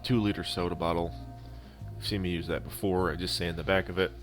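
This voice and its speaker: Deep-voiced man, halting DIY narration. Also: fairly even intonation. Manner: halting, unscripted explaining. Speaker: DIY prop-making video host. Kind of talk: casual tutorial voice-over narration. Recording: instrumental music behind the voice. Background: music.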